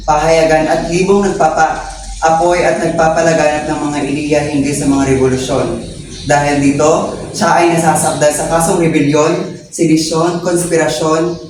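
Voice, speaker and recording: Solemn colonial court official played by a man, formal halting recitation, distant echoing mic, amateur historical drama. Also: a little high, lightly acted. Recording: very echoey room, distant, noisy.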